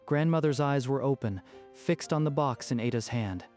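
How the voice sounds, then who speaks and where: A man's voice: medium pitch, moderate pace, a little mellow. A man narrating a scripted dramatic story in a literary storytelling style. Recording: music behind the voice.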